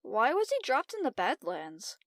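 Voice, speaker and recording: Casting: woman to play curious, excitable filly princess for cartoon audio drama; very high register, lively swoops. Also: normal speed, no echo, studio quality. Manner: excitable, inquisitive. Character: eager, curious young pony princess girl.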